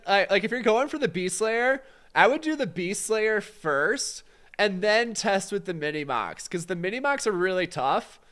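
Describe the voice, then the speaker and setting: A man's voice: high-pitched, fairly bright tone, clear, resonant tone. A man with a congested, fading voice teaching a casual live-stream exam study session, answering chat questions with lots of fillers. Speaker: exam prep instructor.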